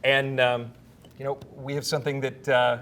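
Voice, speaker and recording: A man's voice: mid-range voice, level tone, normal speed, a bit bright, slight background noise. An American man working as a TV news anchor, moving between desk banter and reading the news.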